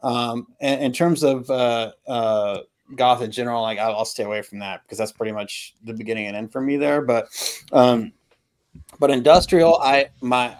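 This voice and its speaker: A middle-aged man in a casual music discussion on a video call, halting and opinionated, often clearing his throat. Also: mid-range voice, fairly even intonation. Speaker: album review panelist. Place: home room with records, indoors.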